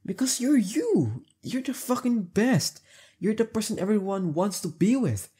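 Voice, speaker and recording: Man playing a smitten young male friend, husky, relaxed tone, conversational, emphatic, intimate audio roleplay. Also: high voice, unhurried, exaggerated voice. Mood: indignant, adoring.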